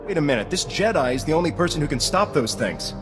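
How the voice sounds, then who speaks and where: An actor playing a loyal, earnest field agent in a video game, dutiful under pressure. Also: male voice, fairly high pitch, sing-song, quick pace, in a large hall, over music. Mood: earnest, resolute. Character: earnest, loyal male field agent, shaken but helpful. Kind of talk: video game cutscene acting.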